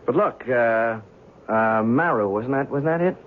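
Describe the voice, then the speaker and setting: An actor playing a young, skeptical newspaper writer, chatty and curious, in an old-time radio drama. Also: male voice, mid-range voice, a little slow, natural voice. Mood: eager, puzzled. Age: young adult. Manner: hesitant, stammering in dialogue. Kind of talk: old-time radio drama acting, first-person narration and dialogue. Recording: tinny, band-limited old radio recording, faint noise.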